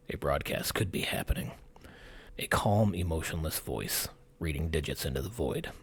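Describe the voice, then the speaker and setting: A man with a husky, gravelly voice narrates a scripted mystery documentary in short, suspenseful, dramatic phrases. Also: very deep voice. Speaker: channel host narrator.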